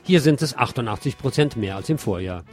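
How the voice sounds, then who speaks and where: A man's voice: mid-range voice, expressive, a little fast. A man presenting radio news from a script, with colloquial commentary mixed in, over music. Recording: music behind the voice.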